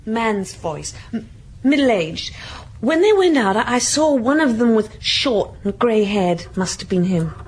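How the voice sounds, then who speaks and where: An actress playing a young, tipsy cabaret dancer who gives halting, terse and evasive answers in a radio drama. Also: female voice, a little high, sing-song, darkish tone, husky, full character voice. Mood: hesitant, evasive.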